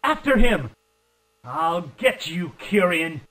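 A man's voice: high-pitched, big pitch swings, slow, a bit bright, recorded from a distance, full character voice. A man playing an urgent, resolute agent in an arcade zombie game, with stilted acting.

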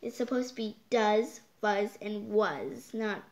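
A child reading a picture book aloud, halting and stumbling over words, with exclaimed side comments. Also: medium pitch, animated. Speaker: storytime reader. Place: indoors, hands holding book before the camera.

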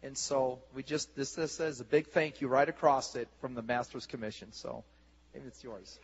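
A man hosting a church service on stage, rousing the congregation with exuberant, excited talk into a handheld mic. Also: mid-range voice, normal speed, slight background noise. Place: large church sanctuary stage. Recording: lo-fi camcorder recording in a reverberant hall.

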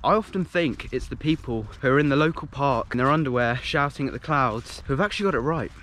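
A man's voice: medium pitch, expressive, fast, a bit bright, a little hiss or hum. A young man in his twenties, vlogging outdoors in open fields, joking and playful as he talks straight to a handheld camera at arm's length. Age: 20s. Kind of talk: casual vlog chat to camera. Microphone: handheld action camera at arm's length.

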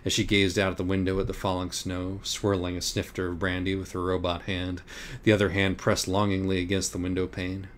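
Deep voiced guy narrating an audiobook, solemn and totally deadpan about absurd stuff. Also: a little fast, a little hiss or hum. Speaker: audiobook reader. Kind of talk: dramatic scripted narration of a parody novel.

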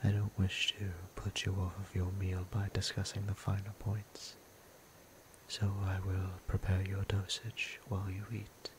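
A man voicing a gentle, courtly vampire priest in an ASMR roleplay, breathy and half-whispered, with formal, tender, old-fashioned phrasing. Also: sits low, monotone, measured pace. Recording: clear background noise and ambience.

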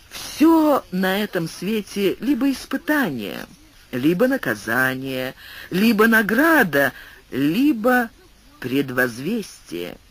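A man voicing a wise male angel who speaks in a solemn, measured way. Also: fairly high pitch, a bit bright, full character voice.

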